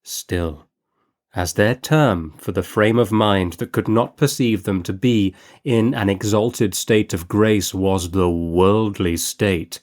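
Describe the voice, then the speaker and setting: A man reading a classic short story as an audiobook narrator, in measured, deliberate storytelling. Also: fairly low pitch, darkish tone. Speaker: audiobook reader.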